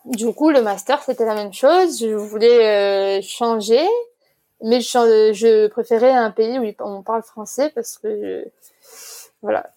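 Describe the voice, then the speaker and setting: Woman, 20s, higher-pitched voice. Chatty interviewee explaining things in a relaxed, conversational way. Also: slow. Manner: chatty, explanatory, laughs easily. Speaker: interview guest. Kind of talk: casual personal interview.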